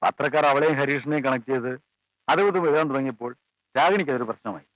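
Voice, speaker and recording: A man acting as a family friend, explaining in a steady, bright, fast voice. The sound is dull and a little lo-fi, dry as an old film track. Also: mid-range voice, no room sound, close to an everyday voice. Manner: calm, explanatory. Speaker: family friend recounting events.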